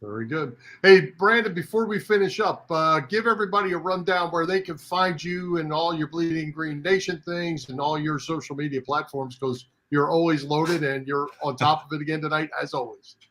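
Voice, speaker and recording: A man co-hosts a conversational sports talk show. His voice sits slightly high for a man and has a bright, forceful edge. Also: moderate pace, few pauses. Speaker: sports radio show co-host.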